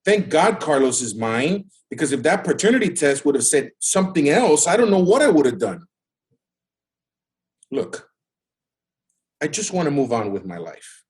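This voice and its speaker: A man's voice: on the high side, very animated, moderate pace, long pauses, slightly rough. A man acting in an audio drama as a hurt, exasperated young father rebuffing his ex. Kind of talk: naturalistic scripted audio drama.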